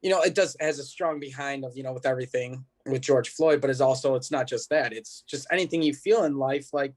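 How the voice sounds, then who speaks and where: A man's voice: medium pitch, fairly quick. A man, a musician guest, chatting in an informal, rambling way. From United States. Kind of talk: casual chat.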